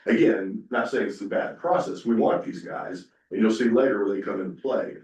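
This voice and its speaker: A man's voice: on the low side, normal speed, a little mellow. A man giving a casual conference presentation, talking in a folksy way with lots of fillers. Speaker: conference panelist. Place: conference room. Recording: echoey room, speaker far from mic.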